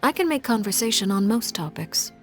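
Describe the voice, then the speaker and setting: An actress playing a poised, calculating woman leader who speaks in a formal, measured way, with faint music behind. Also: fairly high pitch, very animated. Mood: composed. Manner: formal, measured, precise diction. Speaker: video game character. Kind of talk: scripted game voice lines.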